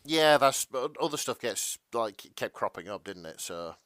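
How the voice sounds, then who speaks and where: A man co-hosting a podcast in casual chat, halting and stammering, mildly apologetic in manner. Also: mid-range voice, animated, a bit bright, dry recording, studio quality. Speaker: podcast co-host.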